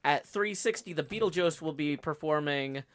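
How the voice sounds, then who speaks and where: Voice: medium pitch, moderate pace, smooth. A livestream host chatting casually and reading out viewer tips, riffing and rambling in a room shared with a guest. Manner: chatty, rambling, riffs on chat messages. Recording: guitar music playing behind. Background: guitar music.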